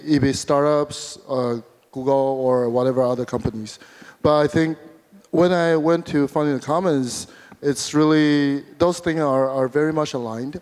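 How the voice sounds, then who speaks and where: Male panelist from Taiwan, mid pitch, clean voice, halting, reverberant hall. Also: a little mellow. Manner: hesitant, repeats words. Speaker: panelist, event organizer. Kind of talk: casual panel talk. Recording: long reverb of a large hall.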